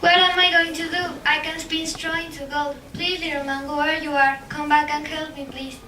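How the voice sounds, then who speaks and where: Woman as a high, plaintive country girl. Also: little pitch movement, moderate pace, pushed and bright. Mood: pleading. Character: simple young country girl, pleading and helpless. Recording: roomy, distant, some noise.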